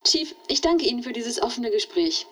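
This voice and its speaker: A woman's voice: fairly high pitch, animated, moderate pace. A woman playing a persistent radio news interviewer in an amateur radio play, speaking in a polished, probing way. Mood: crisp, probing.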